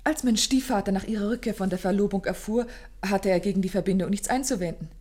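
An actress playing an anxious, grieving young gentlewoman who tells her story formally and intensely in a German radio play. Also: medium pitch, quick pace, close to an everyday voice. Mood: grave, composed. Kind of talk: German radio play acting, dramatic first-person narration.

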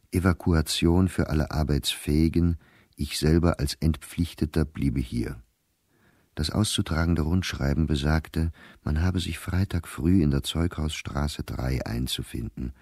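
An actor playing a sober, weary male diarist in wartime, reading his entries in a measured, deliberate way for a radio play. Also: extremely low pitch, darkish tone, husky, dry recording, clean studio sound, exaggerated voice. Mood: grave. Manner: measured, deliberate, clipped diary phrasing.

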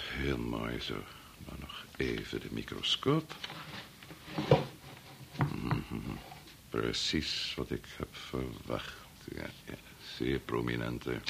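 A man's voice: a bass voice, gravelly, very noisy, heavily acted. An actor playing a middle-aged, preoccupied and domineering doctor and father in a Dutch radio drama, muttering or curt. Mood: absorbed, muttering. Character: preoccupied, domineering male doctor-scientist, father of a grown son.

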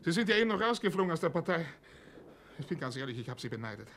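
An actor playing a zealous Nazi air-raid warden, tense and officious, cowardly underneath, in a radio play. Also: male voice, fairly high pitch, big pitch swings, rapid-fire, fairly bright tone. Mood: broken, self-pitying. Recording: noisy old radio play recording.

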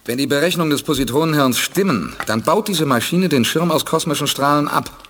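A man's voice: on the high side, lively intonation, fairly quick, a bit bright, big-room sound, slight background noise. An actor playing a determined male spaceship commander, speaking firmly and resolutely in a radio play. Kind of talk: 1980s radio play acting.

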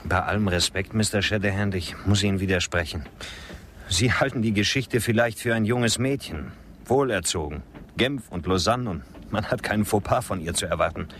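Urbane detached gentleman, lowish voice, lecturing politely, radio drama with slight engine noise. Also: normal speed. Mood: coolly resigned. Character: worldly, matter-of-fact gentleman champion of progress. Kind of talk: German radio drama acting.

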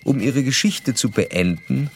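Casting: scholarly doctor-narrator, radio drama. Medium register, animated melody, precise, literary, formal. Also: male voice, mid-range voice, unhurried, slightly rough. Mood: composed. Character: learned, formal male doctor narrating a case history.

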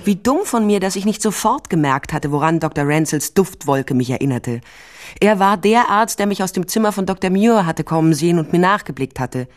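A woman's voice: on the low side, expressive, fairly quick, close to an everyday voice. Wry female investigator played by an actress. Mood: dry, wry. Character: shrewd, wry female investigator telling her own case. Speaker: narrator and lead investigator. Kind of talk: radio crime drama, first-person narration and dialogue.

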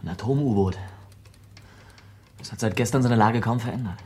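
A man's voice: fairly low pitch, normal speed, warm tone, slightly rough. An actor playing a confident male computer insider in a German radio play, explaining things matter-of-factly. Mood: matter-of-fact, assured. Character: Chris, confident young male computer insider showing a secret control room.

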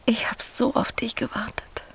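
A woman's voice: normal speed, in a whisper, lightly acted. An actress playing a guileless, earthy farm woman in an audio drama, speaking in an artless, blunt, unguarded way. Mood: tender, whispering. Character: Emma, lonely, earthy, guileless country pig farmer woman.

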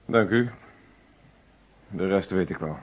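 Stern, insistent police inspector, fuzzy recording. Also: male voice, deep voice, takes it slowly. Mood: stern, pressing. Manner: insistent, formal, authoritative. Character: stern, probing police inspector leading an interrogation. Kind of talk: radio play acting. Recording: dull, fuzzy old radio recording.

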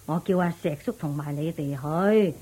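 A woman's voice: sits low, normal speed, mellow, slightly rough, poor audio. An actress playing an anxious, practical widowed mother in a radio drama, sighing and scolding, with a Hong Kong Cantonese accent. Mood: practical, firm. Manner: sighing, fretful, scolding at interruptions. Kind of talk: Buddhist radio drama acting.

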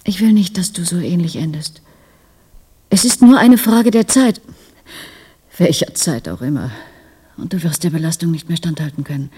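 A woman acting an earnest, devoted female doctor in a German radio drama, speaking softly and huskily in a measured, intimate way. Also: on the low side, breathy edge, exaggerated voice. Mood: urgent, intimate.

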